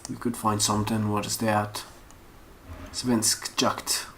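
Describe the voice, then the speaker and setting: A man's voice: fairly low pitch, level tone, takes it slowly, loud music behind the voice. A man giving a casual, unscripted screen-share tutorial, rambling and thinking aloud as he searches. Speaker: online course instructor. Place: at a computer. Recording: noisy room with keyboard and mouse clicks. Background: typing and mouse clicks.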